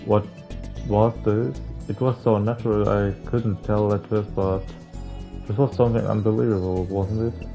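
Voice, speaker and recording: Young male game streamer, dark mellow tone, monotone and halting read of visual novel text, faint music underneath. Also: lowish voice, vocal fry, poor audio. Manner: halting, stumbling reading with casual slangy interjections. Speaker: gaming streamer. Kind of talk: reading a visual novel aloud with casual asides.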